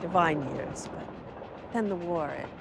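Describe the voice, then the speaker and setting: An actress as a nostalgic, theatrical former stage star from a period film who gushes and laughs as she reminisces. Clear train noise runs beneath her. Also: fairly low pitch, measured pace.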